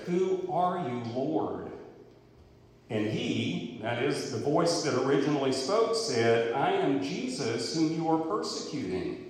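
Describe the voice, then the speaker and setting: A man preaching, reading scripture aloud and then explaining it in a conversational way. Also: mid-range voice. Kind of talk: expository Bible teaching. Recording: echoey hall with long reverb.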